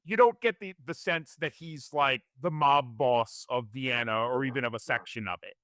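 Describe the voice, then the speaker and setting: A man's voice: medium pitch, normal speed, a bit bright, dry recording, studio quality. A man discussing films conversationally as a podcast co-host.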